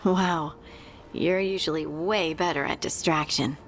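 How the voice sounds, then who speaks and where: Casting: a young female game fighter, sincere and unsure of herself, unhurried delivery. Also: sits low, fairly even intonation, slight background noise. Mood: reflective. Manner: hesitant, earnest. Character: earnest young female fighter unsure of her new magic. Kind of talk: video game cutscene acting.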